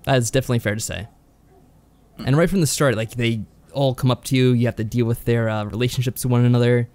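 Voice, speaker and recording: A man co-hosting a podcast, explaining and reviewing games in a measured, conversational way. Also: medium pitch, fairly even intonation, a little mellow. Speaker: podcast co-host. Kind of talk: conversational visual novel review.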